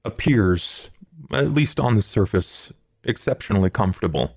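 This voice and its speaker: A man playing a careful, polite vampire accountant in a tabletop game, speaking formally and in measured, wordy phrases. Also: lowish voice, animated, vocal fry, darkish tone, dry recording, studio quality. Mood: polite, cautious.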